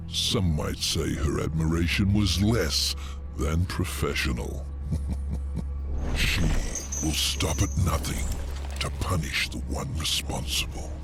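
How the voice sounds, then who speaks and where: An actor playing a sly, menacing imprisoned demon lord in a video game, with theatrical, taunting delivery. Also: male voice, very deep voice, slow pace, vocal fry, over music, exaggerated voice. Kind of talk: video game cinematic acting.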